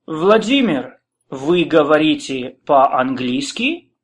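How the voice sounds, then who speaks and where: A man playing a polite foreign man who is learning the language, speaking slowly and deliberately. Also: on the high side, big pitch swings, husky, exaggerated voice. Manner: slow, deliberate learner's speech.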